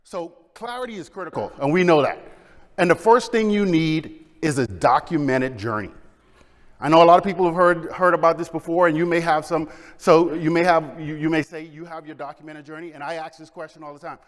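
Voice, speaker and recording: A middle-aged man from the Bahamas, giving an energetic conference presentation and asking the audience questions. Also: on the high side, a little fast. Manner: energetic, engages audience with questions and shows of hands. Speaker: conference speaker. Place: large conference hall. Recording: long hall reverb.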